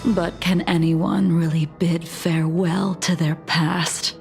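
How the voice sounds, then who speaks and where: Ancient, serene female swordmaster for a game: very slow, breathy with creaky edges, softly menacing, knowing. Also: lowish voice, flat delivery, soft background music. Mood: calm, knowing. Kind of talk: video game voice acting.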